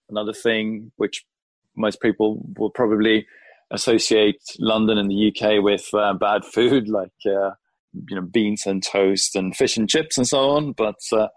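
A man's voice: fairly low pitch, no echo, poor audio. A man from Sweden, a consultant giving friendly, thoughtful interview answers with frequent ums and restarts. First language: Swedish. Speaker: guest, employer brand consultant.

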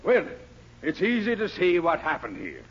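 An actor playing a genial but gullible Irish policeman with an Irish accent and a gravelly voice, in a 1940s radio comedy. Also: on the high side, huge pitch swings, normal speed, a bit bright, exaggerated voice. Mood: amiable, chatty. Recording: muffled 1940s radio recording.

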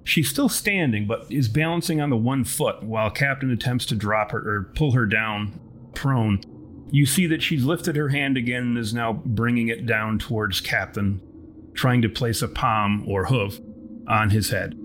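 A male game master delivers dramatic tabletop narration with florid, theatrical phrasing. His voice sits at an average pitch for a man, with some noise behind it. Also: normal speed, a bit bright. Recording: noticeable background noise.

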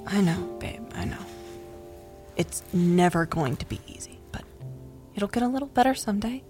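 A woman's voice: medium pitch, expressive, moderate pace, mellow, soft background music, close to an everyday voice. A woman playing a blunt, protective best friend in an audio drama, with a direct, casual and insistent delivery. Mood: consoling. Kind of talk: naturalistic audio drama acting.

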